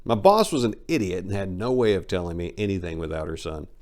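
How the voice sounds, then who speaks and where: A man's voice: low-pitched, animated, quick pace, darkish tone. A man reading a memoir aloud as host, with a theatrical, emphatic delivery. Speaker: channel host and reader.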